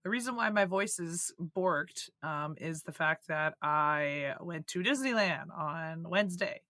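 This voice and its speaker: A hoarse, croaky woman co-hosting a casual podcast, swearing and joking loosely. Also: low-pitched, animated, measured pace, sharp tone, no room sound, clean studio sound. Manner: swearing, joking, laughing often. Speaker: podcast co-host.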